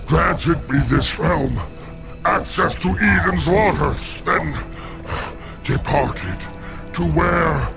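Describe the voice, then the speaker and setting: An actor playing a gluttonous, cowardly male demon in a video game, theatrical and drawn-out, given to pleading and bluster. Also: on the high side, gravelly, heavily acted. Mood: panicked pleading. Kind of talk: video game villain voice acting. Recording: music under the voice.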